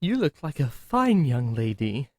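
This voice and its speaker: A man acting in an audio roleplay as a playful, sinister manor servant, talking slowly and theatrically. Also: mid-range voice, big pitch swings, background noise. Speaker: servant called Happiness greeting a captive.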